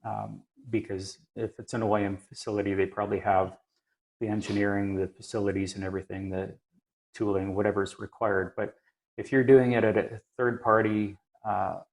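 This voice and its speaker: A man talking through technical points in a conversational, measured and halting way, like an experienced expert thinking aloud. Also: on the low side, flat delivery. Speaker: aviation maintenance engineer and inspector, podcast regular. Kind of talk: conversational explanation.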